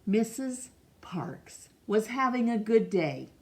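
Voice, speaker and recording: A middle-aged woman reading a picture book aloud in a measured, expressive way for children. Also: on the high side. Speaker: storytime reader. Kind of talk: read-aloud storybook narration. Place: small room indoors.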